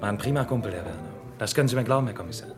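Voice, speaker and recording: A man's voice: fairly low pitch, animated, very fast, close to an everyday voice. Evasive streetwise nightclub barman, played by a man, music behind. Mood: frank, casual. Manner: colloquial, offhand, evasive. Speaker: actor. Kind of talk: German radio crime drama acting.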